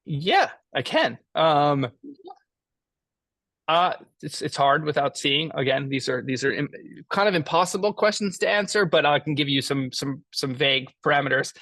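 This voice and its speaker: A man giving an informal lesson as an audio engineer, explaining his tools in a halting way as he thinks aloud. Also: a little high, animated, fairly bright tone, dry recording. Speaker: mixing engineer teaching a class.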